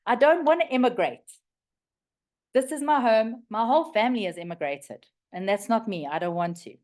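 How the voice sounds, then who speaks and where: A woman in her fifties with a South African accent, hoarse and raspy from the flu, sharing her story on a group call. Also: a little high, lively intonation, normal speed, a little mellow. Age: 50s.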